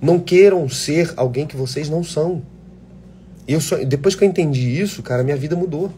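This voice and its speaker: A man's voice: a little high, a little fast, warm tone, slight background noise. A man, an actor, talking casually and passionately to aspiring actors in a live chat, blunt and swearing freely. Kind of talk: casual live chat answering viewer questions.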